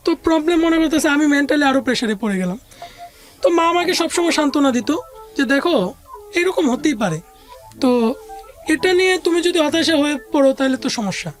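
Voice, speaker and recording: High-pitched Bangladeshi woman telling her story. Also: lively intonation, a little fast, long pauses, faint music behind. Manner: conversational, often quoting others' words. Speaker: interview guest. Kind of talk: personal life storytelling.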